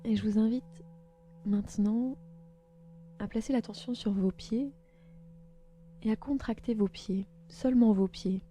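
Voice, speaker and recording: Female meditation guide, calm and gentle, mid-pitched, spaced phrasing, music underneath. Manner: calm, gentle, slow instructions with pauses. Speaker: podcast host and yoga nidra guide.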